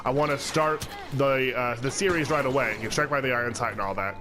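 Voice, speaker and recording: A man's voice: mid-range voice, brisk. A man hosting a let's play channel, talking through gameplay in a loose, rambling way with lots of fillers and restarts, his voice congested from a cold. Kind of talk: casual gameplay commentary.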